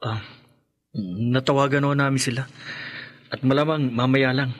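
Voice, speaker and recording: An actor playing a respectful, shaken young son in a Filipino radio horror drama, hesitant and deferential. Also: male voice, mid-range voice, little pitch movement, normal speed, close to an everyday voice. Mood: subdued, sad. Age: young adult.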